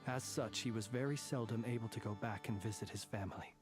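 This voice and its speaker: An actor playing a grave, courtly soldier in a video game, speaking in formal, measured, deliberate phrases. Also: male voice, lowish voice, close to an everyday voice. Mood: somber. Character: grave, composed, courtly male soldier, quietly vengeful. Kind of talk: scripted video game voice acting. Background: game music.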